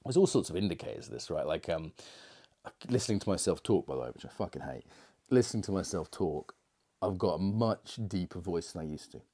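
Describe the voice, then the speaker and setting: A man's voice: deep voice, lively intonation, fairly quick, mellow. A middle-aged man coaching on confidence in a rambling, reflective monologue full of fillers and restarts. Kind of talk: casual monologue to camera.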